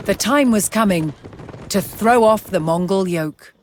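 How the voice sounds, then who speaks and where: A narrator delivering scripted, documentary-style storytelling for a game in a measured, dramatic manner. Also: medium pitch, a little hiss or hum. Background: music.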